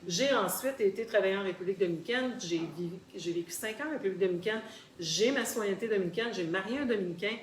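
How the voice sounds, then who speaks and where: A Québécois woman speaks as a guest in a group discussion, in an articulate, explanatory way. Her voice sits at an average pitch and she repeats words for emphasis. The room is echoey, with faint noise behind her. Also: quick pace. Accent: Québécois French. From Quebec, Canada. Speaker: guest sharing personal experience. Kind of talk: conversational storytelling in a group discussion. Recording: roomy echo, faint background noise.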